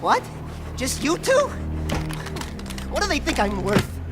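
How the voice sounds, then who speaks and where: A very high-pitched, panicky game character, jittery and stammering. The voice swoops wildly in paranoid fright, with loud music and vehicle noise behind it. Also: male voice, wildly swooping pitch, slow pace, heavily acted. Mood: nervous relief. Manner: frantic, stammering. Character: panicky paranoid jittery man scared of attackers. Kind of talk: cartoonish game voice acting.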